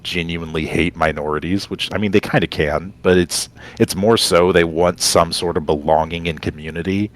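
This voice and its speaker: A man talking casually and reflectively as a co-host, rambling and halting as he works out his thoughts. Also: sits low. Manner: halting, rambling, thinks aloud. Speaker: podcast co-host.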